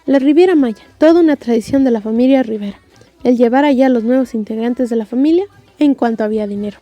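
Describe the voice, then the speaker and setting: A woman with a Mexican accent reads a story with wry humour. Her voice is somewhat high yet mellow, recorded dry, with soft music underneath. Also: quick pace, no room sound, highs cut off. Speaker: audiobook narrator. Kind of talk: wry scripted story narration.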